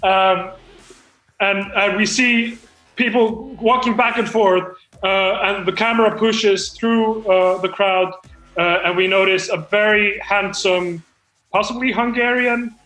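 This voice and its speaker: A man's voice: high-pitched, steady tone, unhurried, sharp tone, echoey room, faint music behind, low-quality recording. A man reading his screenplay aloud at a comedy table read, stumbling, hesitant and apologetic.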